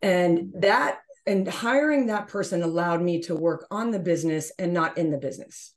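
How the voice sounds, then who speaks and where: Low-pitched middle-aged woman explaining. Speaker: interview guest, gym owner. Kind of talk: explanatory interview answers. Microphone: video call.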